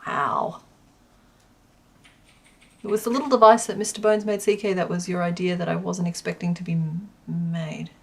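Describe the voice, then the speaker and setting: A woman's voice: lowish voice, steady tone, moderate pace, gravelly, pauses often, breathy edge. A woman chatting casually on a crochet livestream, rambling with many fillers and reading chat aloud. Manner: reads chat aloud and counts stitches quietly. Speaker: crochet streamer.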